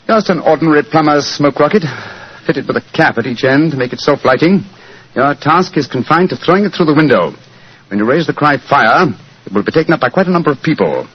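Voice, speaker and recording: A brisk, authoritative British gentleman detective, talking fast and precisely. The vintage radio recording is muffled. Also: fairly high pitch, expressive, husky. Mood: instructing. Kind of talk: 1940s radio acting.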